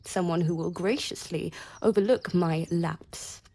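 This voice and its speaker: Bitter, wounded young society woman, husky. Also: lowish voice, a little slow. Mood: anguished. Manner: sardonic, intense, swinging into hushed whispers. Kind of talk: radio drama acting.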